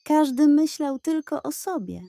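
Woman voicing a self-important storybook gander, highish. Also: expressive, measured pace, creaky, dark tone, no echo, heavily acted. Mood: contrite. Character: self-important male goose with long neck.

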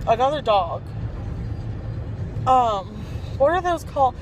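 A woman's voice: fairly high pitch, very animated, slow pace, over music. A young woman, chatting and giggling as she vlogs into a phone held at arm's length inside a car at night. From Texas. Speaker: vlogger. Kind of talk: casual chat. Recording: car noise behind.

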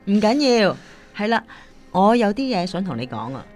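A caring, firm singing teacher played by a woman in a Cantonese radio drama, Hong Kong accent. Her intonation is very lively and she speaks earnestly, over faint music. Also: mid-range voice, moderate pace, natural voice. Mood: composed, helpful. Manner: earnest, admonishing.

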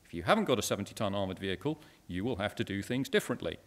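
A man's voice: fairly low pitch, lively intonation, rapid-fire. Dry man at a lectern. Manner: dry, matter-of-fact, with asides to the audience. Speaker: conference speaker. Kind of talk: conference talk at a lectern. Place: lecture hall stage. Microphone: lectern microphone.

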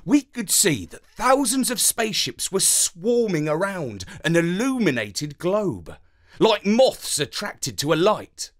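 A man voicing an excitable, loving, dramatic space dog who narrates a children's story with theatrical enthusiasm. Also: high-pitched, takes it slowly, exaggerated voice. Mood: wondering.

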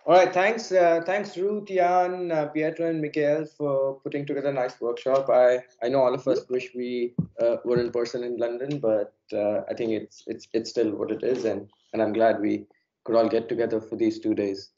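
A hoarse, unwell man giving an academic lecture over a video call, halting with many fillers and repeated words. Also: mid-range voice, flat delivery, mellow, lo-fi sound. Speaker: plenary speaker.

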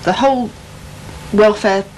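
A woman's voice: medium pitch, a little slow, a little mellow, poor audio. Firm, opinionated woman. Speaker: interviewee. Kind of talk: documentary interview. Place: room at home. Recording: old VHS tape with faint hiss.

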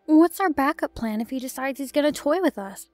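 Female part-elf adventurer played by an actress, fantasy audio drama, anxious doubter, high voice over faint music. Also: expressive, a little fast, darkish tone, dry recording. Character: anxious, skeptical part-elf woman messenger.

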